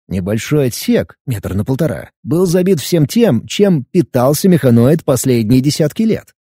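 A man reading a first-person adventure audiobook in a lively, expressive way. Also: mid-range voice, husky, dry recording, studio quality. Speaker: audiobook narrator.

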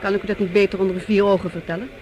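A woman's voice: medium pitch, flat delivery, very fast, darkish tone, slight background noise, lightly acted. A woman playing an earnest, tense doctor posing as a Martian officer in a science fiction radio drama, careful and slightly hesitant. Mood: grave, deferential.

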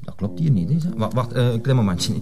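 A man's voice: medium pitch, lively intonation, brisk, music in the background, lightly acted. A man playing a flustered, obliging café waiter in a TV drama, chatty and hesitant, with a Flemish accent. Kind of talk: naturalistic TV comedy-drama acting.

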